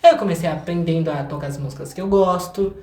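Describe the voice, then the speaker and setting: A man's voice: on the high side, quick pace, darkish tone. Young Brazilian man in an echoey room. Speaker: guest, singer-songwriter. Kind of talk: casual interview chat.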